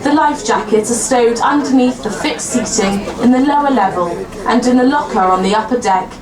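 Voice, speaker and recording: A woman giving a recorded safety announcement on a boat in a measured, formal way. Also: on the high side, reverberant, a crowd in the background, rough recording.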